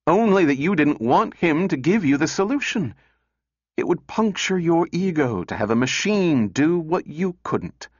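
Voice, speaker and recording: A man's voice: mid-range voice, sing-song, a little slow, fairly bright tone, voice pushed up. A man voicing a stiff, formal and uneasy male research director in a storybook reading. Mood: firm. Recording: echoey room, dull muffled sound.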